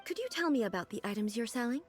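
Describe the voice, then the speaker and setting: Woman voicing a regal divine ruler, calm formal speech, expressive melodic pitch, faint music behind. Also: fast, close to an everyday voice. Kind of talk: game cutscene voice acting.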